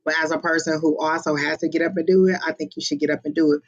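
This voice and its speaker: Psychic medium on a live stream: chatty, exclamatory, halting with fillers; mid-pitched, dull lo-fi sound. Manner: expressive, exclaims often, halting with many fillers. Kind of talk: live-streamed reading, casual chat.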